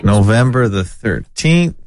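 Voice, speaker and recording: A man's voice: on the low side, expressive, takes it slowly, no echo. Nasal-voiced man hosting a casual chat. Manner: chatty, jokey, blunt opinions. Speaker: podcast host.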